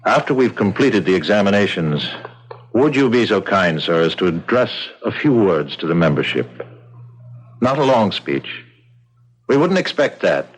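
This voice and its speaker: A man playing a courteous, probing official in a radio drama, speaking with formal, measured politeness. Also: on the low side, big pitch swings, husky, poor audio. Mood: polite, persuasive. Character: courteous, probing male official of a secretive membership.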